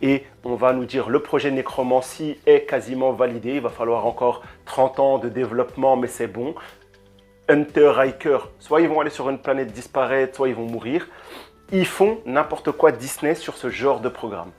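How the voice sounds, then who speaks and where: Animated man ranting, handheld mic. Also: mid-range voice, normal speed, soft background music. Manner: animated, frequent hesitations and repeated words. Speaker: YouTube reviewer. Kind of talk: casual opinion rant. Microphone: handheld microphone.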